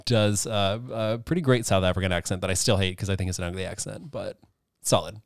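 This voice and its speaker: A man co-hosting a casual movie podcast, animated and laughing as he airs strong opinions. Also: sits low, brisk, gravelly, smooth, dry recording, studio quality. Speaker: podcast co-host.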